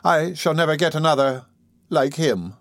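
A woman's voice: low voice, lively intonation, moderate pace, no echo, studio quality, voice pushed up. A man voicing a fussy, self-pitying middle-aged workhouse matron who talks peevishly and sharply. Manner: peevish, sharp, then sugary.

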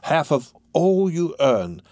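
Storybook villain, played by a male narrator: older man, sly fence, slow coaxing delivery. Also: fairly high pitch, big pitch swings, a little mellow, no room sound, studio quality, voice pushed up. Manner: slow, drawn-out, ingratiating.

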